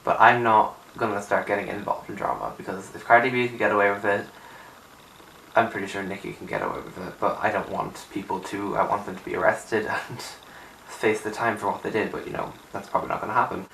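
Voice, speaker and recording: Raspy just-woke-up young man, roomy sound. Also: fairly low pitch, a little fast, a bit bright. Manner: chatty, rambling, emphatic with filler "like". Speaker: music vlogger. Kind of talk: casual opinion chat to camera. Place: small untidy room indoors. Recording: roomy, distant camera sound with faint hiss.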